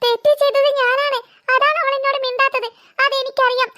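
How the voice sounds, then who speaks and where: A woman's voice: extremely high pitch, little pitch movement, a little fast, bright, forceful tone. A woman voicing a sad, hurt little boy in a children's cartoon, plaintive and self-pitying. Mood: tearful, hurt. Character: sad, hurt little boy, sulking over best friend.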